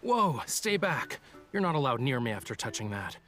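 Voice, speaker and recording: A man's voice: medium pitch, big pitch swings, normal speed, a bit bright. An actor playing an aloof, teasing young man in an English game dub, with a dramatic manner and music underneath. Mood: alarmed, petulant. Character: aloof, teasing young man with fire powers, scared of cats. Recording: background music under dialogue.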